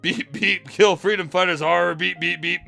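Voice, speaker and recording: Man putting on killer robot, staccato beeps, cartoon story, bright tone, music. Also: high voice, steady tone, normal speed, creaky, exaggerated voice. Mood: menacing. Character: hulking beeping killer tank robot.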